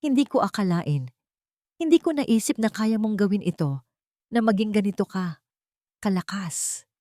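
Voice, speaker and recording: A woman voicing a remorseful, emotional ex-husband in an audio drama, speaking in a halting, pleading way. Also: sits high, animated, dark tone, no room sound, studio quality, pitched above the performer's own voice. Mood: awed admission.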